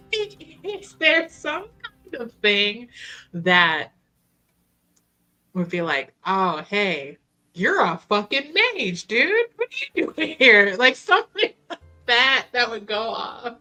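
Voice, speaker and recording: A woman's voice: a little high, big pitch swings, slow, pauses often, pushed and bright. A woman playing a tabletop roleplaying game, chatting casually and thinking aloud in a slangy, wry way.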